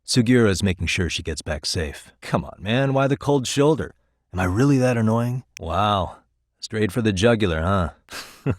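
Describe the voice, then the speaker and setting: An American actor playing a sharp, determined male private detective in a video game, measured and probing, with dry, pointed questions. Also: on the low side, lively intonation, dry recording, natural voice. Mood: frank, resigned, then wry. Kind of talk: video game voice acting, dramatic dialogue.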